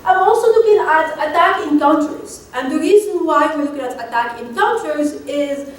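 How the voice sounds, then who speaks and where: A woman's voice: sits high, normal speed. A woman from Morocco giving a conference presentation in a didactic manner, repeating her key points. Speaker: researcher presenting a paper. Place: conference room. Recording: echoey room, distant mic, faint noise.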